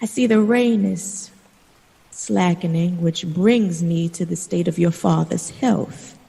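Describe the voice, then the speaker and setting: Worried mother, audio drama, mellow husky voice, gentle measured delivery, reverberant. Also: on the low side, full character voice. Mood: grave. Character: worried, loving mother reading her letter aloud. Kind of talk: audio drama letter voice-over. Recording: long reverb around the voice.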